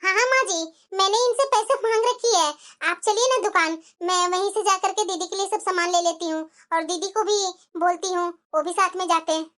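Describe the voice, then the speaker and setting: Timid cartoon bahu, quiet and polite, high voice, woman doing it. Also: fairly even intonation, fairly quick, few pauses. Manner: soft, polite, deferential. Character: meek young daughter-in-law, polite and put-upon. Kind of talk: animated family drama voice acting.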